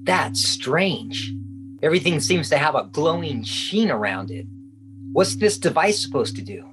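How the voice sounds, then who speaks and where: A man's voice: on the high side, expressive, unhurried, over music. A man playing an earnest, curious American government agent in a radio drama. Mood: curious, puzzled.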